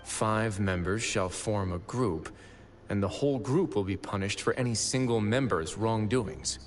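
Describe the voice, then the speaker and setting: A man playing a composed, stern and protective prison warden in an anime game, speaking in a measured, deliberate way. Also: fairly low pitch, faint music behind, close to an everyday voice. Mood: grave.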